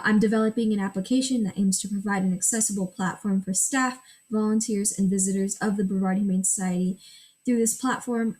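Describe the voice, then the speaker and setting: A woman's voice: mid-range voice, darkish tone, low-quality recording. Young woman presenting a school project. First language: Gujarati. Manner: reads a prepared script, walks through screenshots step by step. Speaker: student presenter.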